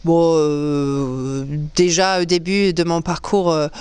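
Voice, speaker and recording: A woman comedian from England speaks in a smooth, clear voice. She answers interview questions casually, hesitating with plenty of fillers and laughing easily. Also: low-pitched, steady tone, slow pace, darkish tone, dry recording. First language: English. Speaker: comedian guest.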